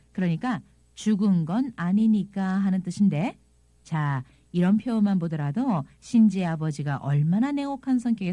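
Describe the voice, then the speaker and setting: A woman presenting a scripted audio language lesson, explaining things in an expressive reading style. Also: medium pitch, no room sound. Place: dry studio.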